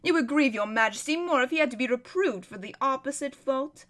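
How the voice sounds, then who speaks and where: A courteous storybook lord read by a woman speaks in a measured, courtly way. The voice is crisp and bright, set fairly high, and respectful. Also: expressive. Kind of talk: storybook character voice.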